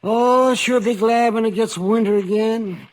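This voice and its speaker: An actor playing a crusty older American frontier doctor, muttering and rambling, in an old radio western. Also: male voice, high-pitched, measured pace, rough recording. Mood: grumbling. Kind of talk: 1950s American radio drama acting.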